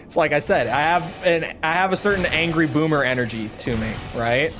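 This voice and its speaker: A man's voice: a little high, brisk, music in the background. Rambling young man streaming a game. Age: early 20s. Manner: rambling, joking and self-mocking. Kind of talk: casual chat while gaming.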